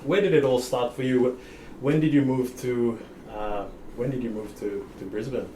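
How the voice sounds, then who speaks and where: A man's voice: mid-range voice, moderate pace, echoey room, slight background noise. A man from Papua New Guinea hosting a podcast, chatting casually with lots of fillers and easy laughter. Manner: relaxed, laughs often, many fillers. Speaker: podcast host. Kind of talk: casual interview chat.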